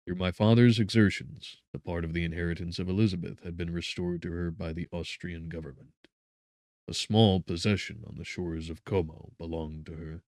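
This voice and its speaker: A man narrating a literary audiobook in a solemn, theatrical manner, with deliberate, emphatic phrasing. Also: deep voice, steady tone, darkish tone, no room sound.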